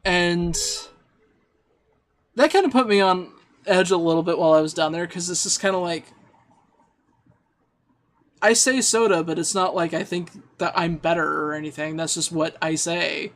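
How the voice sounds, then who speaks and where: High-voiced man rambling on a stream. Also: normal speed, pauses often, a bit bright. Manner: rambling, self-deprecating, laughs easily. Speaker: game streamer. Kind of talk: casual chat while playing and reading chat.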